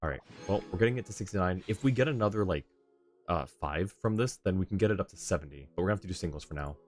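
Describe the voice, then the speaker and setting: A man's voice: low-pitched, lively intonation, racing, darkish tone. A man doing casual livestream gameplay commentary, muttering his thoughts aloud in short bursts and talking to chat. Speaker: game live streamer. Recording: game music behind the voice. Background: video game music.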